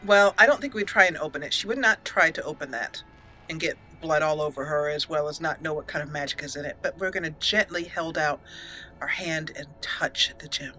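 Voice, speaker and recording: Bright-voiced woman narrating a roleplay game. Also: low voice, brisk, faint music behind, poor audio. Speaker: let's play host.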